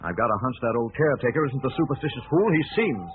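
An actor playing a resolute amateur detective in an old radio mystery, crisp, urgent and authoritative, on a tinny recording. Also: male voice, mid-range voice, big pitch swings, fast talker, heavy background noise, natural voice. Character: commanding, quick-thinking male amateur detective, protective and resolute. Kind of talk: vintage radio mystery acting.

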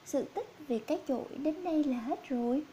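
A woman's voice: sits high, brisk, mellow, lo-fi sound, slight background noise. A woman narrating scripted fairy tales for young children as a storyteller.

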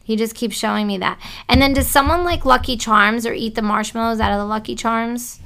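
A woman giving a psychic reading, relaying messages in halting bursts with many restarts. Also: fairly high pitch, gravelly, a bit bright. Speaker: psychic medium.

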